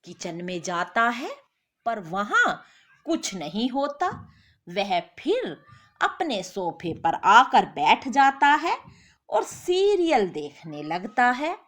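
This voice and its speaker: A woman's voice: on the high side, very animated, slow pace, fairly bright tone. A woman narrating a scripted story as a storyteller.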